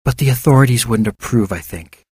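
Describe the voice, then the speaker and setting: A man's voice: mid-range voice, brisk, a little mellow, breathy edge, dry recording, studio quality. A man voicing a cautious male doctor who speaks formally and politely in an audiobook story. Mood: calm caution.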